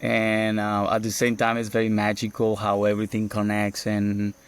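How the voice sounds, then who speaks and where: Gravelly, flat-voiced Colombian man. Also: fairly low pitch, normal speed. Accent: Colombian Spanish. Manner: reflective, many fillers and restarts. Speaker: guest, permaculture farmer. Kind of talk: casual podcast interview.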